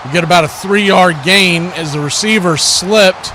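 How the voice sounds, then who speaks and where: A man's voice: sits high, measured pace, background noise. A man hosting a live stream with play-by-play sports commentary and chat to listeners.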